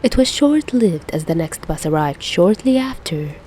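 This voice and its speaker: A woman's voice: on the low side, sing-song, moderate pace, mellow, slight background noise. A woman reading a scripted story as a narrator, with music behind her. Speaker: fan fiction podfic reader.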